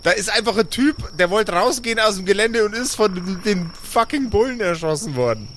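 Higher-pitched man in slangy role-play banter. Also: lively intonation, brisk, a bit bright, slight background noise. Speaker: tabletop role-play player. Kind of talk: improvised in-character casual dialogue.

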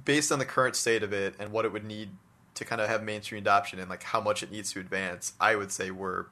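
A man with a fairly low, very bright and forward voice, talking off the cuff as a podcast co-host. He gives his opinions in a casual, conversational way, often padding phrases with small filler words. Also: steady tone, quick pace. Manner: conversational, hedging with "like" and "you know". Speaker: podcast co-host discussing video games.

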